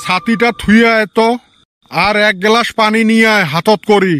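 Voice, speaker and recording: A man voicing an exasperated, teasing cartoon village husband with a Rajbongshi Bengali accent and an artificially raised pitch. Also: steady tone, moderate pace, background noise. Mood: grumbling. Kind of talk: comic cartoon dialogue. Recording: music behind voice.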